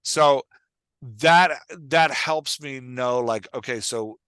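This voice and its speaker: A man's voice: medium pitch, takes it slowly, fairly bright tone, clean tone, no echo. A man hosting a podcast as a writing coach, explaining conversationally, thinking aloud and often checking that he is understood.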